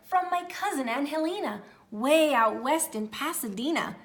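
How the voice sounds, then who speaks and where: A high, sing-song young javelina girl played by a woman, full of dreams. She is a theatrical storybook heroine with ballerina ambitions. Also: animated, moderate pace, roomy sound. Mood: excited. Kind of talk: children's storybook character voice.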